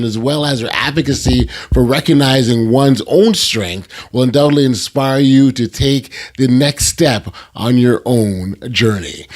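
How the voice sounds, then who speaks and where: A man hosting a podcast, smooth when reading his introduction and full of fillers and restarts when he speaks off the cuff. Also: medium pitch. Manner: polished when reading, halting with many fillers when unscripted. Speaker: podcast host. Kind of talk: scripted intro then conversational hosting.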